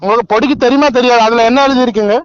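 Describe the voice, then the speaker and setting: High-pitched, fast-talking man on phone. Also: fairly even intonation, smooth, dry recording. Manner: repeatedly addresses listener as sir. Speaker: loan agent on a phone call. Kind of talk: phone sales pitch turning argumentative. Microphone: phone call. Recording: dull, lo-fi recorded phone call.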